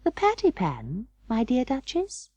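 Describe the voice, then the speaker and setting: A woman's voice: on the high side, wildly swooping pitch, measured pace, a little mellow, no echo, pitched above the performer's own voice, exaggerated voice. A woman voicing a prim, fussy female cat hostess in a storybook reading, proper and emphatic. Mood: prim, polite. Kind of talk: audiobook storybook character voice.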